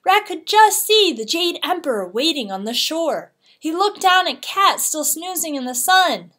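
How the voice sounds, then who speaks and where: A woman narrating a children's storybook expressively, with deliberate, dramatic phrasing. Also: very high voice, big pitch swings, bright, forceful tone. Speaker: read-aloud teacher.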